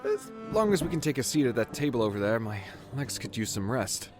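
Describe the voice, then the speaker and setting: A man's voice: medium pitch, very animated, brisk, darkish tone, soft background music, lightly acted. A man playing a frustrated, sardonic gamer in his late twenties, dry and grumbling, in an audio drama. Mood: dry, friendly. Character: frustrated, sardonic 28-year-old male gamer in wheelchair.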